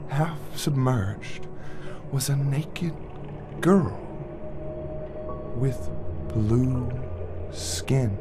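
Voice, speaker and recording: Music plays clearly beneath a man acting as a wistful father in an audio play. He tells a dark memory to his child at a slow pace and a middle pitch. The delivery is reflective and conversational, broken by dramatic pauses. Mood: subdued, tender. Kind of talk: first-person dramatic monologue, storytelling. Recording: music under the voice.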